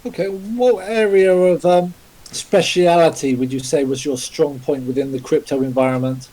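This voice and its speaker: A man with a fairly high but mellow voice hosts a live podcast over voice chat. He speaks politely and formally, with many "um"s and "uh"s. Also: steady tone, moderate pace, rough recording. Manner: polite, formal, filler-heavy. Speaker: podcast host, interviewer. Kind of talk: hosting a live podcast interview. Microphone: remote voice chat call.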